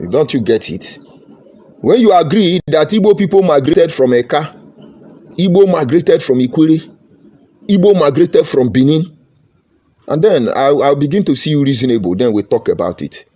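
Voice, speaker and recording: A man's voice: medium pitch, mellow. A Nigerian man giving a fervent, combative political monologue, slipping into Pidgin phrases. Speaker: political commentator.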